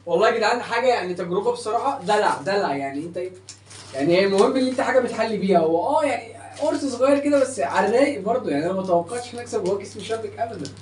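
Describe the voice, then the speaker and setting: A young Egyptian man hosting a casual unboxing vlog, chatting to the camera in an excitable, boastful, emphatic way. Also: high-pitched, racing. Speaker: vlog host. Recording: distant roomy mic with faint noise.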